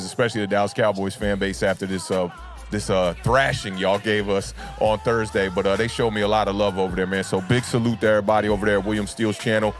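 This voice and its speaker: A young adult man with a deep baritone voice, under the weather, hosting a casual sports livestream and chatting with fans. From United States. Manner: laid-back, repeats "man" and "y'all know what it is". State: under the weather, deeper voice than usual. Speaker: sports talk livestream host.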